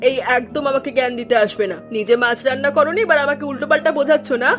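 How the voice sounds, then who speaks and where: Woman as a high, snappish, bossy mother-in-law. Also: rapid-fire, fairly bright tone. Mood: indignant. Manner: scolding, snappish. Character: cantankerous middle-aged mother-in-law, bossy and scornful. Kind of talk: Bengali cartoon dialogue acting. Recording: background music under voice.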